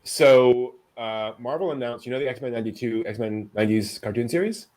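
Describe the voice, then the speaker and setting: A man's voice: mid-range voice, normal speed, a little mellow. A man hosting a casual news rundown with co-hosts, talking in a hesitant, conversational way. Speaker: host presenting anime news.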